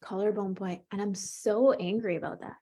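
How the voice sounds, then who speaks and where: Calm woman coaching tapping. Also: mid-range voice, normal speed. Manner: calm, encouraging, leads with short prompts. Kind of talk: guiding a tapping session.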